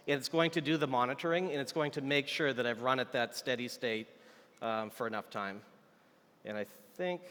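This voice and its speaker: A man's voice: mid-range voice, a bit bright. A man giving an informal live tech demo on a conference stage, chatty and thinking aloud as he goes. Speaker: software engineer demonstrating a product on stage. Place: large conference hall stage. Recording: echoey conference hall with long reverb.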